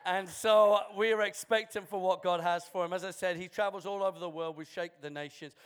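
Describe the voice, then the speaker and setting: A man's voice: high voice, steady tone, brisk, clear, resonant tone. A man, the church's pastor, introducing a guest preacher and rallying the congregation to welcome him. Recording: faint crowd in a church.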